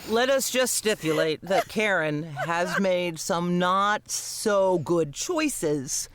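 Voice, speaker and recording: A man's voice: high voice, lively intonation, slow, a bit bright, clean tone. A man in casual comedic banter on a podcast, talking in a sassy, mock-dramatic way. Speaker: podcast cast member.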